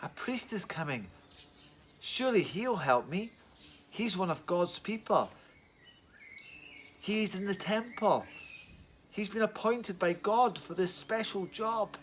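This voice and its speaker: Man doing a wounded traveler, higher voice, eager, pleading, hopeful, children's story. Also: expressive, slow, long pauses, fairly bright tone, a little hiss or hum, heavily acted.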